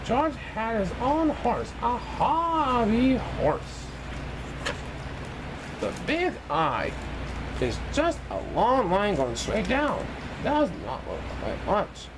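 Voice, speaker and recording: A man reading a children's picture book aloud in an expressive, sing-song way for young listeners. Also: very high voice, drawn-out words, noisy background. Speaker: storybook reader in a banana persona.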